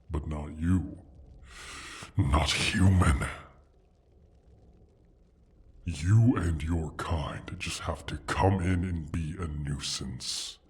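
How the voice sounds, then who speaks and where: Casting: ancient-sounding fantasy dragon, extremely low and husky, proud, menacing, condescending, for an intimate roleplay audio. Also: male voice, darkish tone, full character voice. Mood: contemptuous. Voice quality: husky, breathy rumble with whispered edges. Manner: deliberate, brooding, condescending, sighing. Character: injured, proud male dragon guarding his hoard, menacing. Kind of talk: slow theatrical fantasy ASMR roleplay monologue.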